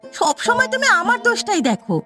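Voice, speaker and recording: A woman's voice: extremely high pitch, very animated, brisk, pushed and bright, soft background music, exaggerated voice. A woman voicing an irritable, complaining wife in a cartoon fairy tale, with a snappy, nagging manner. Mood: scornful, defensive. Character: irritable, complaining wife, defensive indulgent mother.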